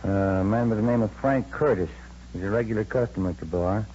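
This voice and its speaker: An actor playing a plain-spoken American police detective partner in a radio drama, reporting in a terse, matter-of-fact way. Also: male voice, fairly low pitch, fast talker, warm tone, natural voice. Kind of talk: 1950s radio drama acting. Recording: muffled old radio recording, faint hum.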